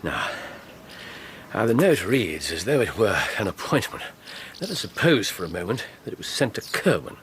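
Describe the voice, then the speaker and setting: An actor playing a keen, courteous Victorian gentleman detective with an English accent, precise, theatrical and wry, in a radio drama. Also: fairly low pitch, very animated, normal speed, slight background noise. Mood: brisk, analytical.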